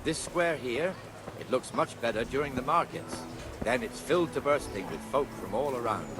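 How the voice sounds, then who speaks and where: Game role: male actor as gruff medieval guardsman, blunt and chatty, mid-pitched, music clearly behind. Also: expressive, normal speed, close to an everyday voice. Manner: blunt, conversational. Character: gruff town guardsman, chatty, contemptuous of beggars. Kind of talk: video game voice acting.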